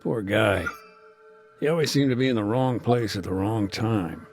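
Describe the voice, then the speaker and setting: An actor playing a weary, earnest humanitarian worker recounting a war in a measured, hesitant way for a video game. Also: male voice, fairly low pitch, expressive, faint music behind, close to an everyday voice. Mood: somber, weary. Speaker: humanitarian aid worker being interviewed. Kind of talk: naturalistic video game dialogue, interview recollection.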